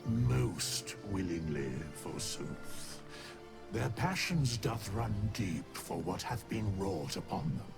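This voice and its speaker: An actor playing an ancient, solemn undead skeleton in a video game, speaking archaic, formal English with a breathy, measured delivery. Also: male voice, on the low side, very animated, music in the background, heavily acted. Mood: solemn, grave.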